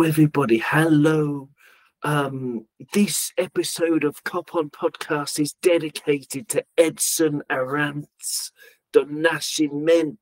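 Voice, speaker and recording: A man's voice: a little high, low-quality recording. A man hosting a casual football podcast, chatty and joking, with lots of fillers. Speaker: podcast host.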